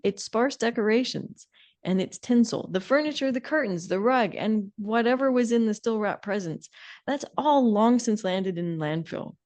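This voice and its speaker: A woman's voice: medium pitch, darkish tone. A middle-aged woman co-hosting a conversational podcast, talking in an opinionated, emphatic way. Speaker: podcast co-host.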